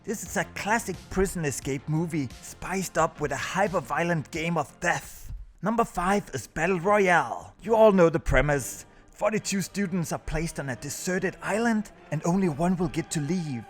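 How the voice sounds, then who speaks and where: Higher-voiced man narrating over music. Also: expressive, moderate pace. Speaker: video host, narrator. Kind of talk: scripted countdown review narration. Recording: music under the voice.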